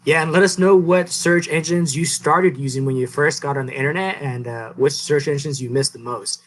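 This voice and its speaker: Man in his 30s, podcast co-host, slightly high voice, loose rambling explanations. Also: normal speed, a little hiss or hum. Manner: relaxed, explanatory, many fillers and restarts. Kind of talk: casual podcast chat.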